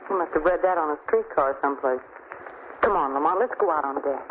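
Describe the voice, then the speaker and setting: A woman's voice: low voice, fast talker, lightly acted. An actress playing a pert, curious, wry lady companion in polished 1940s radio style. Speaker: actress in a radio horror play. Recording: tinny, noisy old radio recording.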